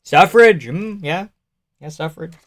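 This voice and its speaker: A man's voice: high voice, slow pace, a bit bright, dry recording, studio quality. A young man hosting a live stream, chatting casually and sarcastically while reading viewer comments. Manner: sarcastic, offhand, swears and laughs often.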